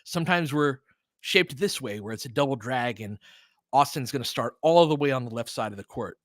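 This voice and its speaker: A man's voice: medium pitch, animated, brisk, no room sound, clean studio sound. A man hosting live basketball commentary as a partisan fan, mixing clipped play calls with conversational tactical breakdowns. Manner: partisan fan calling plays in short clipped phrases, explaining conversationally.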